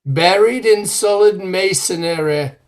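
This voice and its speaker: Grave, slow-spoken village preacher voiced by a man for an old-time radio play. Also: high voice. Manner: grave, measured, formal. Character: grave older male village preacher, solemn.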